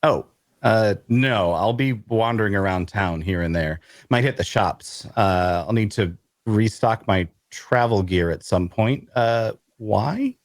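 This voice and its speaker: An actor playing an awkward, bookish loner adventurer who narrates an audio drama in a wry way. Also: male voice, on the low side, slow pace, no room sound, clean studio sound. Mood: awkward, polite. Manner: wry, hesitant in conversation. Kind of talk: first-person narration and dialogue in audio drama.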